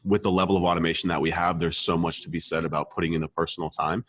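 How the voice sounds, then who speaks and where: A deep-voiced man in a dry, close-sounding recording hosts a casual marketing conversation. He speaks emphatically, repeats himself to drive points home and often checks that the listener follows. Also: fairly even intonation, very fast, fairly bright tone, no echo. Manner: emphatic, repetitive, casual swearing, frequent check-in tags. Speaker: podcast host, marketer.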